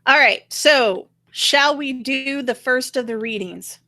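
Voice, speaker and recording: A woman's voice: sits high, slow pace, bright, forceful tone, no echo. Blunt, sarcastic woman in dry, close sound. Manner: blunt, sarcastic, mildly profane. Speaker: talk show host. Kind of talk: casual political rant.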